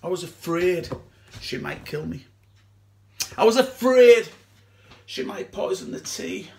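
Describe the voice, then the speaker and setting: Young actor as halting, gravelly, distraught man. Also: fairly high pitch. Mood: bitter, fearful. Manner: halting, emotional delivery with long pauses. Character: distraught man haunted by his girlfriend's suicide. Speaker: amateur actor. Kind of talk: dramatic stage monologue.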